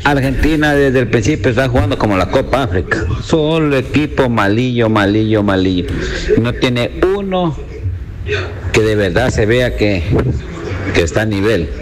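A man's voice: medium pitch, expressive, moderate pace, crowd noise, low-quality recording. A man with an Ecuadorian Spanish accent calling in to a sports show, blunt and mocking with folksy slang. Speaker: caller.

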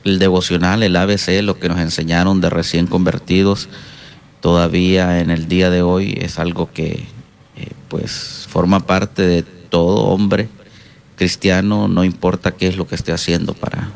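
Deep, gravelly Honduran man, halting and reflective. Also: level tone. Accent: Honduran Spanish. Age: middle-aged. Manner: halting, reflective, hesitant pauses. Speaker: guest pastor and counselor. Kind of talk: reflective interview answers.

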